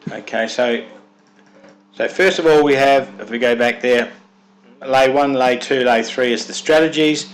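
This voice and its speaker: A man's voice: fairly low pitch, fairly bright tone, poor audio. Man with a froggy cold voice. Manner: methodical, step-by-step explaining. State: has a cold, sounds froggy. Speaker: software developer presenting his product. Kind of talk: screen-recorded software walkthrough.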